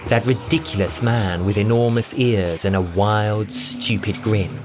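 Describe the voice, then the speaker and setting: A man narrating a scripted science-fiction story with a theatrical, expressive delivery. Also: on the low side, measured pace. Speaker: audiobook narrator and voice performer. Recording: loud music bed under the voice. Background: music.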